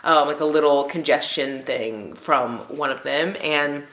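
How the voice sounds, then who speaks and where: Rambling, chatty woman with a low voice, bright and congested, home vlog recording with an echo. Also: unhurried. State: congested, stuffy nose. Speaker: book vlogger. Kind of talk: casual book review chat. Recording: roomy echo.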